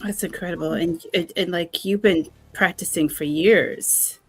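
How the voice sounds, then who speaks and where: A woman's voice: measured pace, soft background music. A woman hosting a casual online chat, asking her guest questions in a friendly, talkative way. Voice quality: calls her own voice squeaky. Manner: gravelly, chatty, asks questions. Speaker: host.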